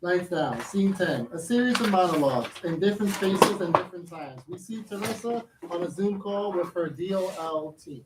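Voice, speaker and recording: A woman's voice: on the low side, animated, normal speed, few pauses. A woman reading out stage directions as scripted narration. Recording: noisy, echoey room, distant microphone.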